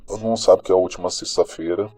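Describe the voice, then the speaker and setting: Mellow-voiced Brazilian radio crime journalist, acted by a man. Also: deep voice, fairly even intonation, fast talker, lightly acted. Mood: grave, disgusted. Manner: colloquial, opinionated, dramatic, addressing listeners directly. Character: streetwise, indignant male local radio crime journalist. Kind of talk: radio news commentary in an audio drama.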